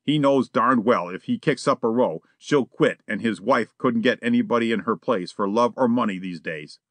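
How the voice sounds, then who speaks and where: A man voicing a relaxed, slangy burglar who chats casually and cheekily in an audiobook reading. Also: medium pitch, moderate pace, no room sound, close to an everyday voice. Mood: wheedling.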